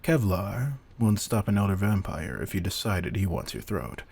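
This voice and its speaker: Gravelly, very deep man acting as veteran vampire hunter. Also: very animated, a little mellow, full character voice. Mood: calm, commanding. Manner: low, deliberate, commanding, often dropping to breathy murmurs. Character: veteran male vampire hunter, intense, commanding, secretly predatory. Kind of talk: slow-burn roleplay audio drama addressed to the listener.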